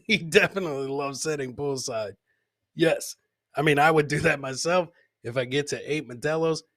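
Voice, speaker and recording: Bright-voiced man hosting a comedy podcast. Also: mid-range voice, no echo, clean studio sound. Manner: repeats his phrases for comic effect. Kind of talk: casual live commentary on funny video clips.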